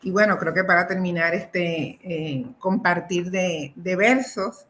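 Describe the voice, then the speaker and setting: A middle-aged woman speaks as a poet sharing her work. Her voice sits a little low and moves very slowly, with deliberate, expressive phrasing. There is faint noise behind her. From Dominican Republic. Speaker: poet and professor presenting own work. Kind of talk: poetry reading with informal introductions.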